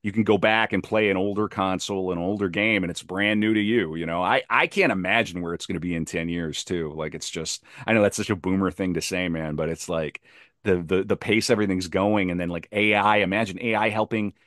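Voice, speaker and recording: A man's voice: sits low, fairly even intonation, brisk, fairly bright tone, dry recording, clean studio sound. A man chatting casually on a podcast, emphatic and given to repeating his phrases for effect.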